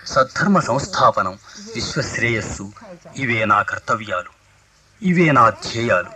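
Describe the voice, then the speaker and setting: Noble, declamatory warrior prince, bright voice. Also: male voice, mid-range voice, big pitch swings, moderate pace, low-quality recording. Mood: lofty, resolute. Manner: declamatory, lofty, formal classical diction.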